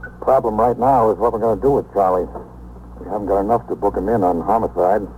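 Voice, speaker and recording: A man's voice: fairly low pitch, quick pace, darkish tone, dull audio, natural voice. An actor playing a practical, plain-spoken police detective reporting to his superior in a 1950s radio drama. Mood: businesslike. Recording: noisy, phone-thin 1950s radio recording.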